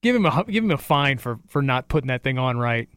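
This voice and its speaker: A man's voice: mid-range voice, sing-song, fast, fairly bright tone, clear, resonant tone, no room sound, studio quality. A man on a sports talk panel, casually explaining details in conversation.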